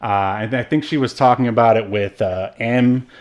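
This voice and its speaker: A man co-hosting a casual film discussion, thinking aloud in a halting, wry manner. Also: medium pitch. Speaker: podcast co-host.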